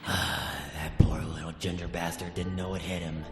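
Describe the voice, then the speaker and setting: A man playing a vengeful demon villain in an audio drama, gravelly and theatrically menacing, gloating and full of hate. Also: low voice, little pitch movement, normal speed, close to an everyday voice. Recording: echoey long reverb, loud background music.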